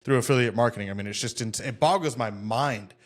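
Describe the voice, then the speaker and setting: A man's voice: mid-range voice, brisk. A man from the United States hosting a podcast over a video call, talking in an easygoing, enthusiastic way. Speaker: podcast host. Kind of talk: casual interview chat.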